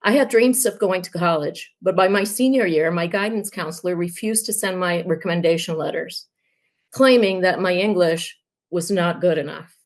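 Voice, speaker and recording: A woman's voice: fairly low pitch, normal speed. A woman from Puerto Rico, a native Spanish speaker, giving a prepared campaign speech as a union candidate in an earnest, emphatic manner. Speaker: union candidate, high school Spanish teacher.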